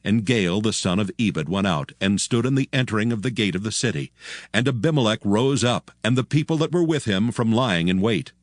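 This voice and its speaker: A man's voice: lowish voice, expressive, fairly quick, dry recording. A man narrating a dramatized reading of scripture, with music behind him.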